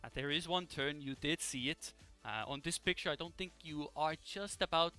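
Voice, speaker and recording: A man hosting an esports broadcast in a casual live style, with hesitant, halting phrasing. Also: on the high side, bright, forceful tone, smooth. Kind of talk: casual live presenting and commentary. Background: music in the background.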